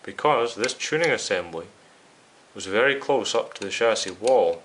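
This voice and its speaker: A man's voice: fairly low pitch, expressive, moderate pace, a bit bright. A man hosting a hands-on repair video, talking casually and explaining each step directly to his viewers. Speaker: host of a radio restoration series.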